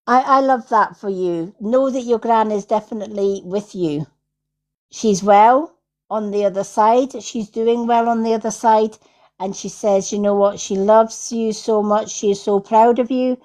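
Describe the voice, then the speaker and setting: Scottish lady doing psychic readings on a live, slow and mellow, reassuring, says right a lot. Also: medium pitch, steady tone. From Scotland. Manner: affirming, repeats herself, tags with "right?". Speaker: psychic medium hosting a livestream. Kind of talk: casual livestream spiritual reading.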